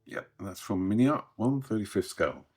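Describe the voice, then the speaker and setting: Croaky deep-voiced man. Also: expressive, fairly quick, darkish tone, faint music behind. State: croaky from a recent illness. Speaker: host. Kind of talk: casual scripted hobby news update.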